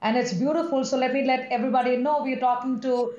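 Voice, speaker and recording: A woman's voice: high voice, steady tone, quick pace, a little mellow. A woman hosting an interview over a video call, effusive and repeating words as she searches for them. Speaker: host. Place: indoors. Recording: echoey, slightly muffled call audio.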